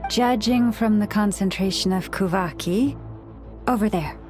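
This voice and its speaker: A woman playing a calm, understated antlered young woman in an anime game, gentle and matter-of-fact. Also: medium pitch, unhurried, loud music behind the voice, lightly acted. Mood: focused.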